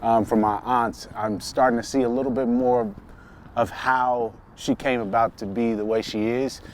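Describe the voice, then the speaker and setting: A man in his twenties from Texas, hosting a relaxed, open conversation with lots of fillers and easy laughs. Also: mid-range voice, moderate pace, slight background noise. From Dallas, Texas. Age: 20s. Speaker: host. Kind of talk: casual conversation.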